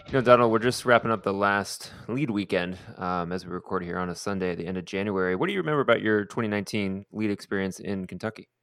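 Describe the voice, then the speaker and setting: Quick, upbeat male podcast host. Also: lowish voice, a bit bright, clear, resonant tone, no echo, studio quality, soft background music. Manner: upbeat, quick, friendly questions. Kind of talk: podcast interview hosting.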